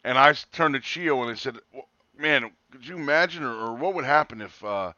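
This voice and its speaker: Male co-host, forties, casual storyteller, bright forceful tone, slightly muffled lo-fi sound. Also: mid-range voice, fairly quick, no echo. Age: 40s. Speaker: co-host of a wrestling talk show. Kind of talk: casual chat, anecdotes.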